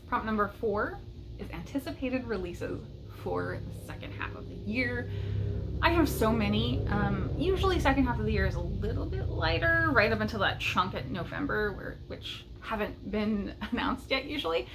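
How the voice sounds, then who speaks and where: A woman's voice: fairly high pitch, animated, moderate pace, noisy background. A woman chatting casually and enthusiastically as a book vlogger, with a light chuckle in her talk.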